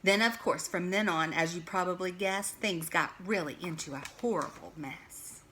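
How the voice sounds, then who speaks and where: A woman's voice: on the low side, normal speed, pushed and bright, a little hiss or hum. A woman reading a children's storybook aloud expressively, like a teacher to young pupils.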